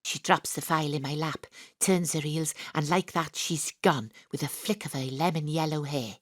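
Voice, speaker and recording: A woman's voice: deep voice, moderate pace, no room sound, studio quality. An actress with a Welsh accent playing a wry, sporty amateur detective who narrates her own story with self-mocking humour. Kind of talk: first-person radio drama narration. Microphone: home studio mic.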